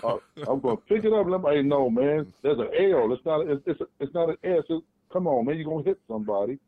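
A man, co-host of a casual chat show, telling stories in an animated way. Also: a little high, fast, no echo. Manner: animated storytelling. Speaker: podcast co-host. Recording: tinny phone-like line, dry.

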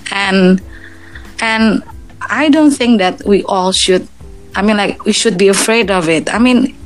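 A woman's voice: mid-range voice, measured pace, faint music behind, poor audio. A woman from Indonesia with an Indonesian accent, giving earnest advice in casual English chat, often restarting and repeating words.

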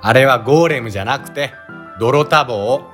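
An actor playing a laid-back young monster-spotting enthusiast who explains things matter-of-factly in a comic radio drama. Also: male voice, mid-range voice, normal speed, fairly bright tone, soft background music, lightly acted. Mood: explaining. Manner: casual, matter-of-fact explaining.

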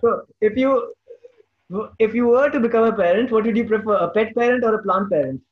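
Guy hosting a casual chat, pretty high voice, bit muffled audio. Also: fairly quick. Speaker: podcast host.

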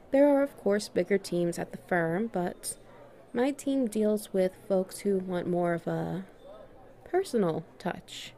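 A woman acts out a self-assured female boss in an intimate audio roleplay. Her voice is dark and mellow, and she speaks with measured control, teasing and flirting while keeping a strict, dominant edge. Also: medium pitch, close to an everyday voice. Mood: poised, explaining. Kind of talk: flirty one-sided roleplay dialogue.

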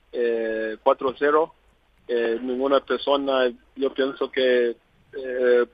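A man from Zambia, speaking Spanish as a second language, answers interview questions haltingly with many fillers. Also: medium pitch, no room sound, muffled recording. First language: not Spanish. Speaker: interview guest. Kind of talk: phone interview.

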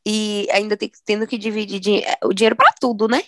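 A woman's voice: medium pitch, fairly even intonation, fairly quick, dry recording, clean studio sound. A young Brazilian woman in casual podcast chat, earnest and building her points in lists. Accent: Brazilian Portuguese. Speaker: podcast co-host.

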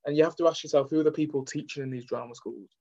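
A man's voice: a little high, steady tone, very fast, warm tone, muffled recording. A young man from Manchester with a Mancunian accent, talking candidly as an interview guest. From Manchester, England.

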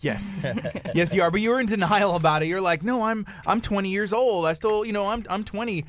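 Podcast host guy, jokey and teasing, kinda high voice, dry. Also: brisk, fairly bright tone, no echo. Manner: joking, teasing. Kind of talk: casual podcast chat.

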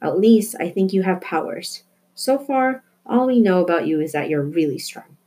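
A woman voicing an earnest, excitable young girl in a storybook, explaining things eagerly and emphatically. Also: fairly low pitch, normal speed, breathy edge. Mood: excited. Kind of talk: storybook character voice.

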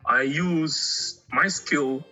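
A middle-aged man from Angola, raised in Congo, chatting casually as an artist guest in an interview, halting and often repeating words. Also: on the high side, sharp tone, soft background music, poor audio.

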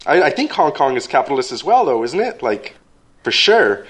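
A man's voice: mid-range voice, big pitch swings, brisk. A young man in casual conversation, prodding with questions and filling his talk with "like" and "you know". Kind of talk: casual chat.

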